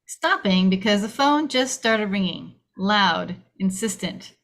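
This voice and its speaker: A woman's voice: medium pitch, lively intonation, takes it slowly, a bit bright. A woman reading stage directions as dramatic screenplay narration, in clipped, punchy phrases.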